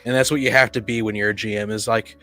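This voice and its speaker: A man's voice: lowish voice, fairly even intonation, fast, sharp tone. Casual man chatting over faint music. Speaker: podcast co-host and game master. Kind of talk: casual discussion of tabletop roleplaying games.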